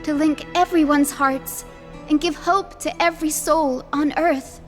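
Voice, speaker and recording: A woman's voice: sits high, fairly even intonation, a little slow. A woman voicing an earnest, hopeful young descendant of sky folk in a video game cutscene, with a solemn, poetic storytelling manner. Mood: wistful, hopeful. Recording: background music under the voice.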